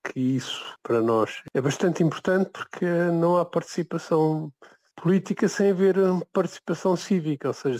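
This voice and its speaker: A man's voice: medium pitch, darkish tone, dry recording. Explaining European Portuguese man, interviewed. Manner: explanatory, conversational, with small restarts. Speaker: leader of an emigrant association. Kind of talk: radio interview answers.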